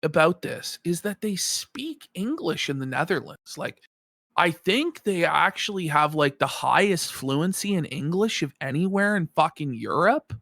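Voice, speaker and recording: A man co-hosting a podcast, chatting crudely and mockingly about an online figure, with loud outbursts when thanking supporters. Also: a little high, animated, unhurried, creaky, no room sound, studio quality. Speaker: podcast co-host. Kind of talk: casual commentary chat.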